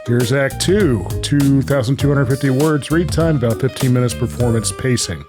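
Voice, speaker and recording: A man's voice: medium pitch, moderate pace, music in the background. A man hosting a show with casual chat and reading aloud, in a playful, goofy manner. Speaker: show host and creator.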